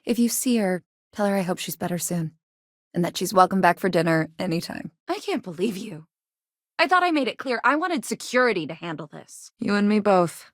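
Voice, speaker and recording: An actress voicing a guarded but caring, plain-spoken woman innkeeper from a rough sci-fi district in a video game. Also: mid-range voice, lively intonation, fairly quick, dry recording, clean studio sound, lightly acted. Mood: stern, upset. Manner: plain-spoken, earnest, civic-minded. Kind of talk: game dialogue lines, conversational.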